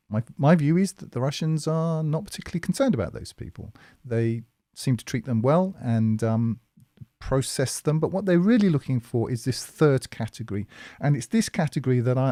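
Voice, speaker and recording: A man hosting a commentary monologue, speaking in a measured, hesitant way with many fillers and restarts. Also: medium pitch, warm tone, no echo, clean studio sound. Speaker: commentary channel host.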